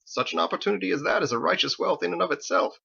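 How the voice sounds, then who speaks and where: Pompous, high-pitched prim churchman. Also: fast talker, creaky, pushed and bright, slightly rough, muffled recording, full character voice. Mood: effusive, self-satisfied. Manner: florid, fussy, over-formal diction full of "I say". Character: pompous, verbose young churchman paladin, earnest and prim. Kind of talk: audiobook character voice.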